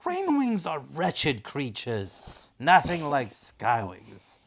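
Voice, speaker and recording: A man's voice: fairly high pitch, wildly swooping pitch, slow pace, gravelly, a bit bright, a little hiss or hum, voice pushed up, full character voice. A proud, bitter she-dragon acted out by a man snarls and snaps through a bedtime storybook. Her delivery is contemptuous and commanding, lifted a little above the reader's ordinary speaking voice. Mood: scornful. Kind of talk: bedtime storybook read-aloud.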